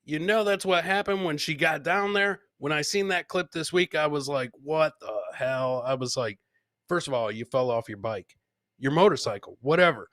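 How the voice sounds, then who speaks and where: A man's voice: on the high side, normal speed, fairly bright tone, dry recording, studio quality. A man hosting a podcast, chatting casually and informally with swearing and plenty of filler words. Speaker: podcast host. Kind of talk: casual live comedy chat, reading and answering viewer comments.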